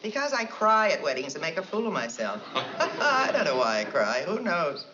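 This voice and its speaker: A woman's voice: low-pitched, very animated, normal speed, noisy background. A woman playing a chatty married woman gossiping on the phone, firing off punchlines and laughing at them. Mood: gossipy. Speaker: comedienne doing a phone monologue. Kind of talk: 1960s sitcom acting. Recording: dull old TV audio with loud studio audience.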